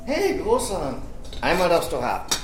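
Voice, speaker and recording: Playful father: animated, easygoing, German audio drama, echoey room with clatter. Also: on the high side, moderate pace, loud music behind the voice. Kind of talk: German children's radio play acting. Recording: echoey, noisy kitchen with clatter.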